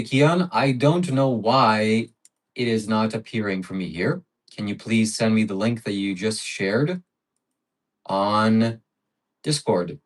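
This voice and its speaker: A man hosting a casual livestream, thanking donors and stacking up adjectives as he chats. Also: lowish voice. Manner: reads out donations and piles up adjectives. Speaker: livestream host.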